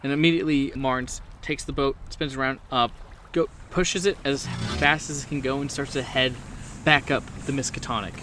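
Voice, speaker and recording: A man's voice: mid-range voice, fairly even intonation, normal speed, pushed and bright, a little hiss or hum. Man narrating a game over engine ambience. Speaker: game master. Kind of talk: improvised tabletop horror game narration. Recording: clear boat engine ambience behind.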